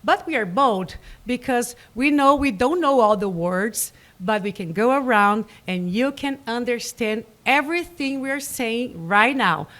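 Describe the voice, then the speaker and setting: A woman's voice: fairly high pitch, lively intonation, unhurried, few pauses, a bit bright. Brazilian woman hosting a radio show, eager. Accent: Brazilian Portuguese. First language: Portuguese. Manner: enthusiastic, inviting, a little nervous. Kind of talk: casual live studio chat. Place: radio studio.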